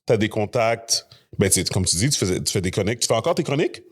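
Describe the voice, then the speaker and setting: A man's voice: fairly low pitch, very animated, fast. A man, a podcast host talking loosely and chattily, dropping in English slang. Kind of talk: casual conversation.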